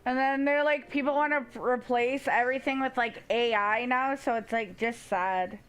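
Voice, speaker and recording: A woman's voice: a little high, fairly even intonation, normal speed, fairly bright tone, clean tone, faint music behind. A woman live-streaming a game, chatting casually with her viewers.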